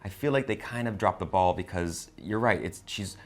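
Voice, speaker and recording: A man co-hosting a film review in casual, unscripted talk, agreeable and somewhat halting. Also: sits low, soft background music. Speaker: co-host of a film review show.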